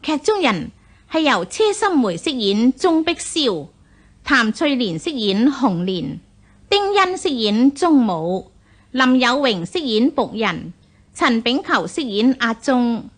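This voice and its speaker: Slow, very lively female announcer. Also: fairly high pitch. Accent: Hong Kong Cantonese. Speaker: radio drama announcer. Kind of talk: formal scripted announcement of episode and cast.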